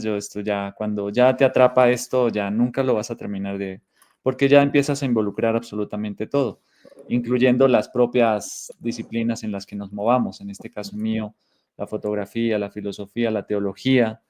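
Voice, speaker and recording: A man's voice: fairly low pitch, a little mellow. A Colombian man with a slightly Mexican tinge, speaking as a guest in an online talk, reflective and thoughtful. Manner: reflective, thoughtful, with frequent restarts.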